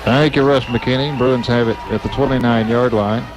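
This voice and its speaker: A man calling high school football play-by-play for radio, in a brisk, steady voice. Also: rough recording.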